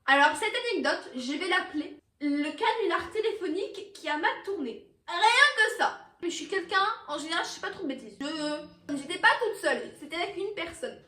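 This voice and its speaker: Very high-pitched teenage girl, echoey room. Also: normal speed, distant mic. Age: teenager, about 15. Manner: expressive, dramatic, self-mocking, acts out moments. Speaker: YouTuber telling personal anecdotes. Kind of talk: animated casual storytelling to camera.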